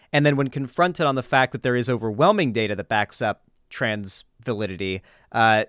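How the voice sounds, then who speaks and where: A man's voice: mid-range voice, fairly bright tone, clean tone, no room sound, studio quality. A man giving casual, unscripted political commentary in a blunt, emphatic way with casual swearing.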